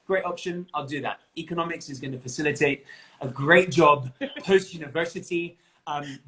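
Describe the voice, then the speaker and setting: A man's voice: fairly high pitch, sharp tone. A young man with an English accent, chatting as an interview guest over a video call, laughing often and restarting his sentences. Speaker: interview guest, bagpipe player. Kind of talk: casual chat.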